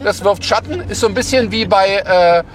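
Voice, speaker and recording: High-voiced sarcastic man. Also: moderate pace, a little hiss or hum. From Berlin, Germany. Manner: opinionated, sarcastic, rambling. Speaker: passenger guiding a city drive. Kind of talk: casual chat. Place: inside a moving car in a city.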